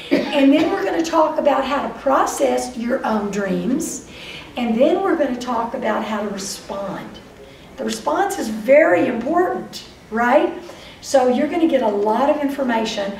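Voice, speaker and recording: An older woman with a Texas accent giving a lively, chatty lecture to a live audience, speaking straight to them. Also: high voice, moderate pace, creaky, distant mic, a little hiss or hum. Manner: lively, chatty, addresses audience directly, acts out voices of dreams. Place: meeting room before a whiteboard. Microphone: far camera. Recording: roomy echo, voice from afar.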